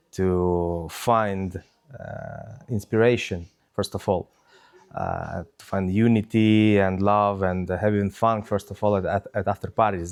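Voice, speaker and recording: A man's voice: sits low, darkish tone. A man from Ukraine speaking English, answering interview questions with many hesitations and fillers. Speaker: DJ guest.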